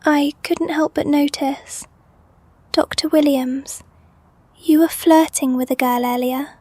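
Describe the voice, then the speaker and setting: Girl voice actor as sweet curious young medic helper, high slow voice. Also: steady tone, dry recording. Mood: gently curious. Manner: polite, gently inquisitive. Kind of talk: audio drama acting.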